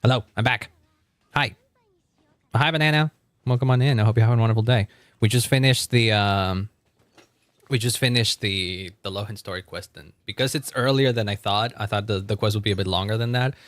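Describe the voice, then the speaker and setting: A man's voice: lowish voice, brisk, a bit bright, no echo. A man chatting casually on a gaming livestream, rambling and often repeating his phrases. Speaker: live streamer.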